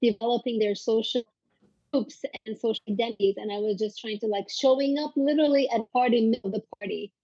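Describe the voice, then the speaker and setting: Higher-voiced woman from Turkey, slightly dull audio. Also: steady tone, quick pace, dark tone, dry recording. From Turkey, moved to the US at fourteen. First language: Turkish. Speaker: podcast guest, psychologist. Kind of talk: reflective personal storytelling.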